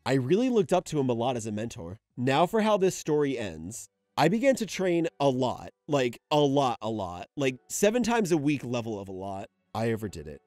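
A young man giving casual video-essay commentary, animated and sometimes ranting, sometimes sentimental. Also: medium pitch, moderate pace, dry recording. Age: young adult.

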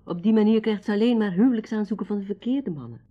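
A woman's voice: mid-range voice, fast talker, dull audio, lightly acted. An actress playing a confident, capable woman who helps servants, in a Dutch radio drama. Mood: concerned.

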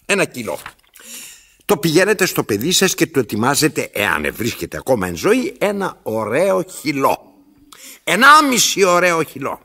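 An actor playing an imperious, pompous old tyrant in a radio play, declaiming with self-interrupting asides in a gravelly voice. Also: male voice, a little high, wildly swooping pitch, bright, forceful tone, exaggerated voice. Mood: haughty, declamatory. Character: imperious, theatrical old male tyrant telling his story. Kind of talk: radio theatre acting.

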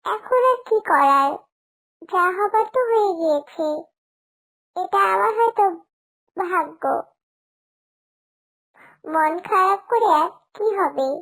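A woman voicing a sweet, excitable little village girl in a cartoon. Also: extremely high pitch, slow, pauses often, exaggerated voice, low-quality recording. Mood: sad. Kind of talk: cartoon voice acting.

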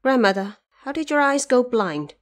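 A woman's voice: on the high side, lively intonation, normal speed, pitched above the performer's own voice, close to an everyday voice. Woman playing an earnest young monk. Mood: earnest, polite. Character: earnest, devout eighteen-year-old male monk.

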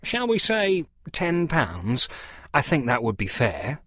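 Man acting a fussy genteel parson, lively swooping pitch. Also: takes it slowly, no echo, clean studio sound, full character voice. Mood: genteel, feigned indifference. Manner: over-polite, apologetic, feigning reluctance. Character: sly middle-aged male antiques dealer posing as genteel, fussy parson. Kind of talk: storybook character dialogue.

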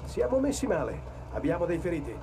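A man playing a rough, excitable marine soldier in an Italian video game dub, calling out combat barks. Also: fairly high pitch, quick pace. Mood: relieved, strained. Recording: distant, loud gunfire and vehicle noise.

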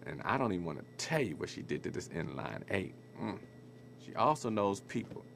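An actor with an American accent playing a swaggering, flirtatious street hustler in a video game cutscene, with slangy, cocky smooth talk. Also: male voice, deep voice, very animated, a little fast, a bit bright, a little hiss or hum, exaggerated voice. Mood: warning, cocky.

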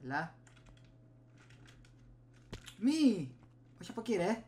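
A man's voice: sits high, very animated, warm tone. A young man giving casual gameplay commentary, muttering and reacting in short bursts. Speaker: gaming streamer. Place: small room indoors at home. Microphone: desk USB microphone.

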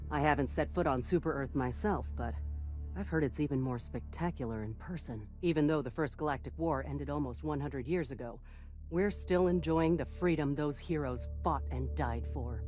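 An actor as a devoted military ship master from a video game, speaking at a middling pitch with crisp, zealous patriotism. The delivery is earnest and dutiful, like a propaganda briefing to the troops, with faint music underneath. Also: darkish tone, lightly acted. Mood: earnest conviction. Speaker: starship officer briefing soldiers. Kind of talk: scripted video game character dialogue.

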